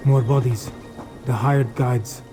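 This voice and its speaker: A grave cat-folk warrior acted by a man speaks in a measured, watchful way at a middling pitch. He is a solemn video game companion, heard under loud music. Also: steady tone, lightly acted. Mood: wary. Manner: measured, solemn, third-person self-reference. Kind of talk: video game character dialogue.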